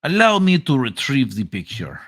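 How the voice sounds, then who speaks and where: A man voicing a stiff, formal Russian man who speaks halting English and drops his articles. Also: mid-range voice, wildly swooping pitch, a bit bright, no echo, clean studio sound, exaggerated voice. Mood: formal, deadpan. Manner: halting, overly formal phrasing with dropped articles.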